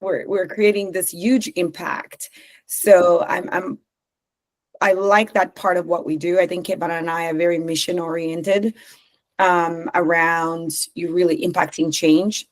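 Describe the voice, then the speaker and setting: A woman from Zambia, a company co-founder, talking in a podcast interview in an animated way, laughing often and restarting her sentences. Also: lowish voice, unhurried.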